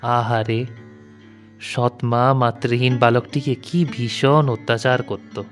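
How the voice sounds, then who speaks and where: A man's voice: medium pitch, fairly even intonation, takes it slowly, soft background music, close to an everyday voice. A man playing a cold, secretive royal minister and spymaster, musing in a detached way in an audio story. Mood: detached, pitying. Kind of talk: dramatised audio story monologue.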